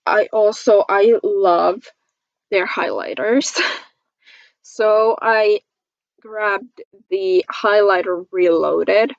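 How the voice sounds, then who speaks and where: Woman, beauty vlogger, casual chat, gushing and giggly, slow pace, dry and slightly muffled recording. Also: medium pitch, gravelly, dry recording. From Finland. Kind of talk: casual product review chat to camera.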